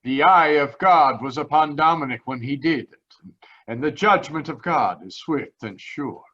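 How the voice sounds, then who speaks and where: An actor playing an earnest, pious immigrant man with halting, solemn delivery in a radio drama. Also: on the high side, animated, fairly bright tone. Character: earnest Italian immigrant man, pious, grieving, slow-spoken. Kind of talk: 1940s radio drama acting. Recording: slightly dull video-call audio.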